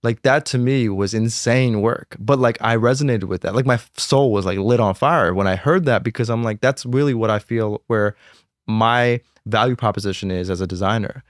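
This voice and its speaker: A man's voice: fairly low pitch, no room sound, clean studio sound. A young American man, a fashion designer, talking about his brand in a casual interview, packing his sentences with "like" and "you know". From United States. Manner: fast, filler-heavy talk with many "like"s.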